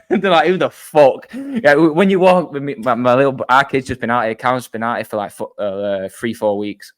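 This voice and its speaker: A man's voice: medium pitch, animated, moderate pace. A young man with a Mancunian accent from near Wigan, chatting casually as a podcast host, swearing and laughing a lot. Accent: Mancunian, Wigan-tinged. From Manchester area, England. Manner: sweary, laughs often, lots of fillers. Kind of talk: casual chat.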